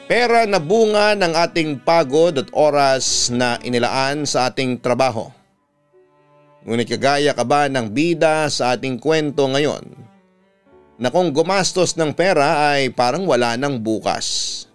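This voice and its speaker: A man with a Filipino accent narrating a scripted story as a radio announcer, measured and clearly enunciated. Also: mid-range voice. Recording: music bed under the voice. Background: music.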